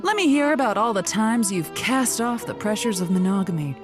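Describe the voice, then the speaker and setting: A woman's voice: mid-range voice, animated, brisk, over music, natural voice. A woman playing a playful, lusty late-night radio call-in host in a comedy audio drama, flirty and theatrical.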